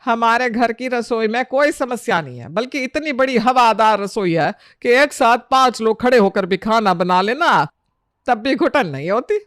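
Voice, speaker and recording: A woman's voice: on the high side, expressive, normal speed, no echo, pitched above the performer's own voice, natural voice. A woman acts out a middle-aged Indian mother-in-law in a family storybook tale. She is fussy and worried, chiding and emphatic in every phrase. The recording is close and dry. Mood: exasperated.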